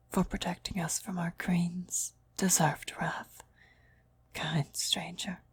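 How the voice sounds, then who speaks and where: A woman's voice: on the low side, husky, dry recording, heavily acted. A woman playing a fawning female cultist devoted to her demon queen, deferential and half-whispering, in an ASMR roleplay. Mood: submissive.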